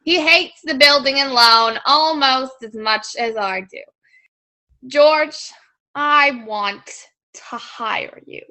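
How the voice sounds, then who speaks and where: Radio play villainess, acted by a woman: grasping old banker, very bright hard voice, measured and condescending. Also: fairly high pitch, exaggerated voice, poor audio. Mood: coaxing. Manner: deliberate, condescending, commanding. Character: scheming old female banker, rich, domineering and grasping. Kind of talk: old-time radio drama acting.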